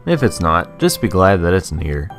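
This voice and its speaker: A low-pitched man narrates a video game review in rhyming lines. His intonation swings up and down a good deal, and music plays underneath as he goes. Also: big pitch swings, moderate pace. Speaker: game reviewer and show host.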